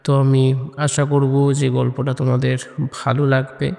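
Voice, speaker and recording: A man narrating and hosting scripted audio horror stories in a measured way, pausing between short phrases. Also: medium pitch, completely flat delivery, gravelly, mellow. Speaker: host and narrator of an audio story channel. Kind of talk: scripted horror story narration and channel introduction. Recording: long reverb on the voice.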